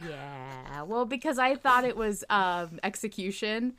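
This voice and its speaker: A woman's voice: mid-range voice, very animated, measured pace, pushed and bright, clean tone, no echo. A woman co-hosting a podcast, chatting informally about fan theories and reading listener comments, with plenty of "like" and mild swearing. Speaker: podcast co-host.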